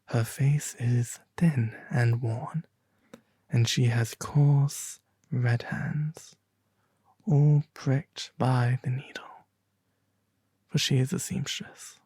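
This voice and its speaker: A man as a breathy, mournful prince statue. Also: mid-range voice, fairly even intonation, drawn-out words, long pauses, darkish tone, no room sound. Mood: sad, tender. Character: sorrowful, gentle gilded prince statue, low musical voice. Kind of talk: soft storybook character acting.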